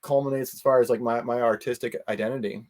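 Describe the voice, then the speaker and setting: A man speaking as an interview guest in a casual, earnest and halting way, often circling around his point. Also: mid-range voice, fairly even intonation, a little mellow. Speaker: interview guest, convention organizer.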